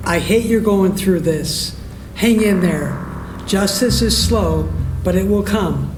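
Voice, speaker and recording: A man's voice: high-pitched, slow pace, background noise. A man acting an earnest, compassionate lawyer in a radio play. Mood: sympathetic, sorrowful. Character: earnest, compassionate male lawyer, a bereaved father. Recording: roomy, music underneath.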